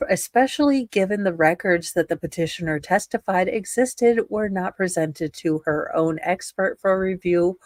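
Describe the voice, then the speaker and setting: A woman's voice: on the low side, normal speed, hardly any pauses, a little mellow, no room sound. A woman reading a document aloud with sardonic, casual commentary. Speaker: co-reader in a dramatic reading stream.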